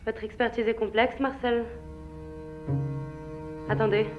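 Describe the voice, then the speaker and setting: A woman's voice: a little high, level tone, a little slow, phone-quality sound, soft background music, close to an everyday voice. A woman playing a slick game show host. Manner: bright, theatrical presenter delivery.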